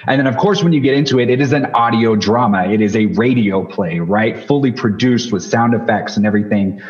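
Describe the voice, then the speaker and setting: A man's voice: lowish voice, brisk. Man hosting, slightly dull roomy sound. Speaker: podcast host interviewing guests. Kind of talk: casual interview chat. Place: home room, indoors. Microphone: boom-arm microphone on a video call.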